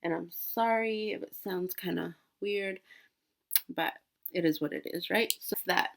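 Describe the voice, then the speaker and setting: A woman's voice: lowish voice, a little slow, vocal fry, noisy background. A woman in her twenties, sick with a cold, chatting casually through a makeup tutorial with many fillers and restarts. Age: 20s. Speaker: beauty vlogger.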